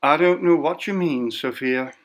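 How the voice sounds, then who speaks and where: A man's voice: a little high, normal speed, pitched above the performer's own voice, natural voice. A man voicing an anxious, evasive, meek woman in a story, speaking in a hesitant, defensive way. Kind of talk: audiobook character voice.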